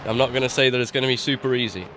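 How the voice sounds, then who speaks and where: A man commentating a sim racing broadcast live, sounding nasal from a cold, rising in excitement at overtakes. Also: mid-range voice, a little hiss or hum. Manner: excited rises at overtakes, quick asides. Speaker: race commentator.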